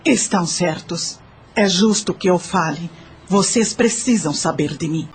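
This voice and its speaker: A woman's voice: on the low side, unhurried. A woman playing a wounded, forgiving aunt in an audio drama, with an earnest, emotional, plaintive delivery. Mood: sad, confiding. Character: wounded, forgiving aunt confessing her sad past. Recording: music under the voice.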